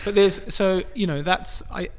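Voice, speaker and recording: A middle-aged man from England, a guest artist in a casual interview, talking in a halting way with many restarts and frequent chuckles. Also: sits high, a crowd in the background.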